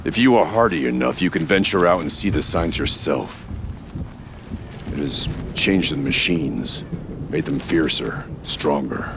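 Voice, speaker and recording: Very deep, stern chieftain. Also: male voice, lively intonation, a little slow, background noise, full character voice. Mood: proud, resolute. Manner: grave, terse, formal. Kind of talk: video game character acting.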